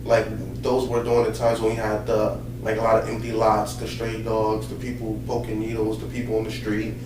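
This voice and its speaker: A low-pitched man, born in Haiti, talks easily through his life story with plenty of "you know" and "um". He sounds set back from the mic in a roomy space. A faint noise sits under his voice. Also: a little fast, recorded from a distance. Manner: relaxed storytelling with many fillers. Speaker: podcast host and pastor. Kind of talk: casual chat.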